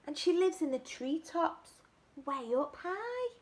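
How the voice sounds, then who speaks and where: A woman voicing an anxious little monkey searching for its mum, reading rhymes in a sing-song way with high cries of protest. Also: very animated, slow pace, full character voice. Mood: fretful. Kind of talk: storybook read-aloud.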